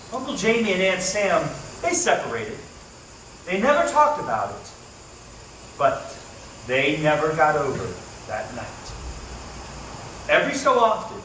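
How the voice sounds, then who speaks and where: A roomy, distant live recording with faint noise carries an actor as a campfire-storytelling dad. His bright, high male voice moves slowly and theatrically, with lively swings of pitch. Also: heavily acted. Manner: ominous, drawn-out, theatrical. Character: theatrical father telling a spooky campfire story. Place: live show at a recreation hall.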